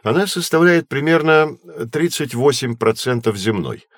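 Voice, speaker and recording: An actor playing a patient, knowledgeable teacher in an educational audio drama, explaining in measured, clear phrases. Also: male voice, medium pitch, animated, no room sound, studio quality, lightly acted. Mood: explanatory. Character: patient, knowledgeable male astronomy teacher guiding children.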